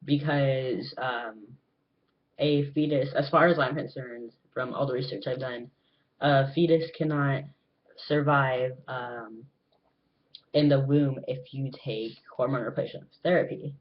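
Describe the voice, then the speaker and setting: A young man talking earnestly to camera in a personal vlog, pausing often with filler ums. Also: medium pitch, steady tone, dull audio. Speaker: vlogger. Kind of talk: casual personal talk to camera. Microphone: webcam.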